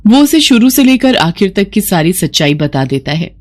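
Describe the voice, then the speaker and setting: A woman's voice: mid-range voice, big pitch swings, moderate pace. Woman narrating a children's story. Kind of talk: scripted narration of a Hindi moral cartoon story.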